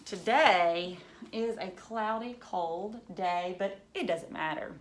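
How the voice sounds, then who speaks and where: A woman reading a storybook as an eager ten-year-old girl who dreams of flying, with drawn-out, wonderstruck phrasing. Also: medium pitch, lightly acted. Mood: excited.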